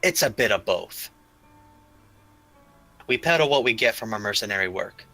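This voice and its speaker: A man's voice: on the low side, lively intonation, normal speed, gravelly, bright, forceful tone, faint music behind, exaggerated voice. A man playing a courteous, slightly tentative mercenary bodyguard in a tabletop game. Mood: polite, businesslike. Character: polite male mercenary bodyguard and travelling peddler.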